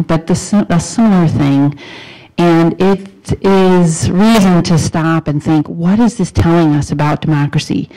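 A woman's voice: deep voice, dark tone, rough recording. Woman, wisconsin accent, middle-aged, university lecture, handheld mic, earnest, emphatic questions. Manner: earnest, emphatic rising questions, pauses to rephrase. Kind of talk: academic talk to an audience. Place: lecture room in front of a projection screen. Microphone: handheld microphone.

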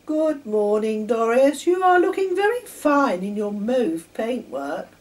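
A woman's voice: a little high, big pitch swings, unhurried, a little mellow, distant mic, voice pushed up. A woman voicing a proud new male steam engine who is eager to impress, storybook style. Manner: boastful, then stammering apology.

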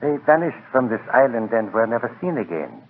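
An actor playing a grave island hotel proprietor, formal, deliberate and ominous, in 1940s radio drama. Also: male voice, mid-range voice, big pitch swings, close to an everyday voice. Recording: tinny, noisy 1940s radio recording.

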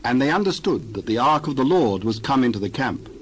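A man's voice: medium pitch, lively intonation, brisk. Male Bible narrator, background noise.

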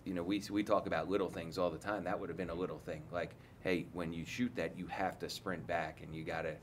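A man's voice: low-pitched, level tone, a little fast, slight background noise. A man in his forties, a head coach, giving candid, rambling post-game answers full of restarts, seated at a table mic in an indoor press room.

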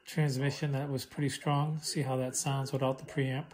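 A man's voice: medium pitch, moderate pace. A man giving a casual hands-on demonstration of a gadget, speaking as the presenter. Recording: steady radio hiss and chatter. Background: shortwave radio static and voices.

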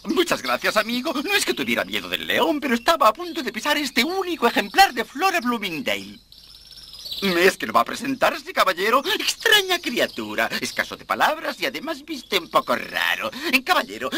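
An actor playing an eccentric elderly botanist professor in a cartoon, pompous, fussy and full of exclamations. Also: male voice, extremely high pitch, bright, forceful tone, slight background noise, full character voice. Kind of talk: animated cartoon dub acting.

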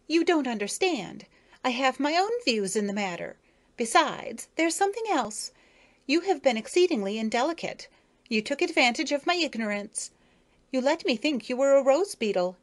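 Woman putting on a prim high-pitched lady beetle. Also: very animated, moderate pace. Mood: haughty. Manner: fussy, superior, affected. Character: prim young widowed female beetle, haughty.

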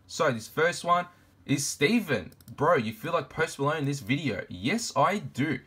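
A man of twenty-two, vlogging casually to camera in his bedroom, laid-back and slangy, his voice deep from just waking up. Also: lively intonation, unhurried, a bit bright, husky. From Argentina, raised in Australia. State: deep morning voice, just woke up. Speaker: fashion YouTuber. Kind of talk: casual vlog Q&A. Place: bedroom with shoe shelves, indoors.

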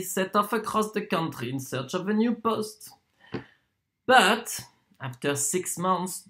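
An actor performs a proud itinerant torturer in a stage monologue. The delivery is unhurried and deliberate, full of weighted pauses. The mid-pitched voice swings widely up and down in a theatrical way. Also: a bit bright. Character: proud wandering torturer from Poland, wheedling then contemptuous.

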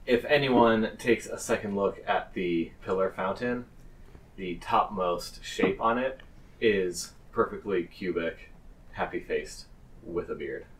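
A man narrating a tabletop game as game master, in a halting way with amused asides. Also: low voice. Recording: slightly distant mic, faint noise.

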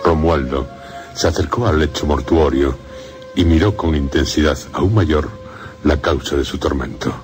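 A man's voice: rumbling low, measured pace, music in the background. A man narrating a scripted gothic story as the narrator of a radio drama.

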